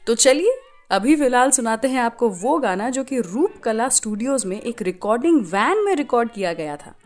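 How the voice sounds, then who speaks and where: A woman's voice: a little high, expressive, moderate pace, darkish tone. A woman with an Indian accent presenting a radio show, telling stories in a chatty, conversational way and switching between two languages. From Indore, India.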